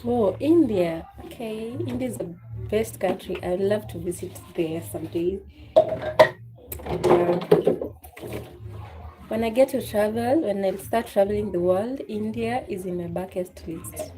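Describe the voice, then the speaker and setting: A woman's voice: mid-range voice, measured pace, pauses often, poor audio. A woman from Kenya with a cold, chatting casually with viewers of her livestream while washing dishes in her home kitchen. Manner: chatty, greets viewers, reads the live chat aloud. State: has a cold. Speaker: livestream host. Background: music.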